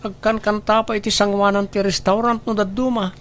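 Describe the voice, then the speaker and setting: A man's voice: sits high, level tone, fairly quick, fairly bright tone, slight background noise. A man playing a kind, patient young restaurant worker in a radio drama, polite and caring. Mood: polite, concerned. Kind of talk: Ilocano radio drama acting.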